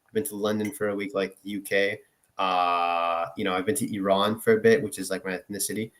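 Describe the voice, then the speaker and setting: A man's voice: deep voice, steady tone, a little fast, fairly bright tone. A young man from Finland, a guest in a casual chat, rambling with many fillers and swearing. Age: young adult.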